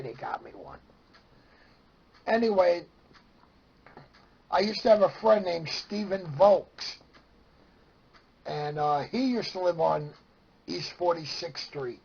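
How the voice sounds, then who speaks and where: A man with a strong raspy edge and a notably high voice tells casual stories in a Brooklyn accent. He is excitable and slips into remembered dialogue, though his overall pace is slow. The recording is dull and lo-fi. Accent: Brooklyn New York. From Brooklyn, New York. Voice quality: raspy, gravelly. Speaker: interview guest. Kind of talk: animated casual storytelling. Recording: dull lo-fi sound.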